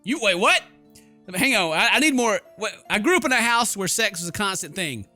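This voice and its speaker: Bright, animated male gaming commentator. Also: high-pitched, brisk, soft background music. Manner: goofy, slangy, theatrical exclamations. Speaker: gaming YouTuber. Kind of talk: let's play commentary, reading game text aloud.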